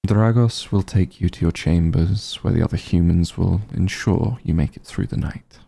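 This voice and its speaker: A man's voice: low voice, normal speed, gravelly, dark tone, husky, no echo, studio quality, heavily acted. A man playing an ancient, aloof vampire patriarch in an ASMR fantasy roleplay, commanding yet honorable, speaking in formal, haughty, archaic phrasing. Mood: cold, weary.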